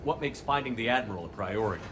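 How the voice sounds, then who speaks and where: An actor playing a steady military commander in a video game, speaking with terse authority. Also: male voice, on the low side, quick pace, heavy background noise, lightly acted. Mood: businesslike. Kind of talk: video game cutscene acting.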